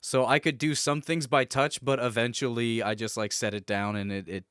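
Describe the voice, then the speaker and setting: A man co-hosting a podcast in casual, nostalgic banter, telling stories in an animated way with easy swearing. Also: mid-range voice, moderate pace, smooth, no echo, clean studio sound. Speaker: podcast co-host.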